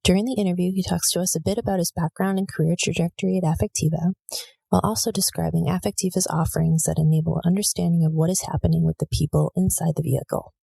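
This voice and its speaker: A woman's voice: on the low side, fairly even intonation, fast, creaky, dark tone, husky, dry recording. A woman hosting a podcast, introducing it and asking interview questions. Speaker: podcast host.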